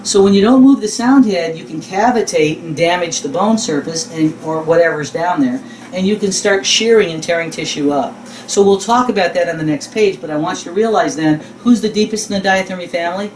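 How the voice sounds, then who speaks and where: Male lecturer, higher-pitched voice, folksy and emphatic, quizzing as he teaches. Roomy, distant-mic capture. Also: a little fast. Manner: folksy, informal, emphatic repetition of key words. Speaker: instructor. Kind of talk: conversational teaching lecture with rhetorical questions. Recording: roomy, distant mic, faint background noise.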